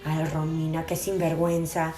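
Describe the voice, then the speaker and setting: A woman voicing a fond housekeeper who scolds a child quickly. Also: deep voice, completely flat delivery, dark tone, natural voice. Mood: chiding. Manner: quick, chiding. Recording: roomy with faint music.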